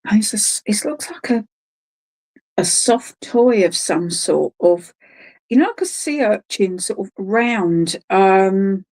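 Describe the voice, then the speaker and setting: A woman's voice: mid-range voice, expressive, slow pace, a little mellow. A woman with a British accent speaking informally as a spiritualist medium, feeling her way hesitantly through impressions as she describes them. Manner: hesitant, searching, conversational, describing impressions as they come. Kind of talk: informal clairvoyant message at an online service.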